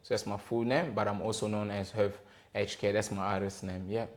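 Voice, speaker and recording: A man in his thirties from the Democratic Republic of Congo, talking as a podcast guest. His voice sits fairly low, and his speech is hesitant and loose, full of fillers and self-corrections. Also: little pitch movement, brisk, a little mellow. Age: 30s. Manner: hesitant, many fillers and restarts. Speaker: podcast guest, gospel rapper. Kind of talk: casual interview conversation.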